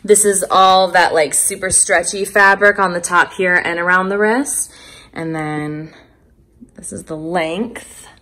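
A woman's voice: on the low side, steady tone, a little slow, sharp tone. A woman in her thirties chatting casually and enthusiastically as a vlogger, recorded indoors at home on a handheld phone. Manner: chatty, informal, upbeat enthusiasm. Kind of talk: casual vlog chat, clothing try-on commentary. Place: furnished rooms at home, indoors. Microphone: phone held at arm's length.

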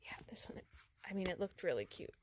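A woman speaking softly and often whispering in a relaxed ASMR girlfriend roleplay, recorded indoors among bookshelves on a handheld phone. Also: on the low side, a little fast. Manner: soft-spoken, drifting into whispers.

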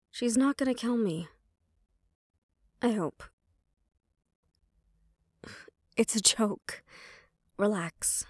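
A woman's voice: fairly high pitch, a little mellow, breathy edge, no echo, studio quality. A woman playing a defiant, loyal young banshee daughter in an audio roleplay, sarcastic and casual. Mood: reassuring.

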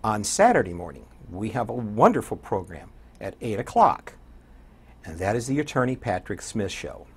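An older American man with a husky voice, speaking as a radio DJ guest in a conversational studio interview. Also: on the low side, very animated, normal speed. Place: small indoor TV studio.

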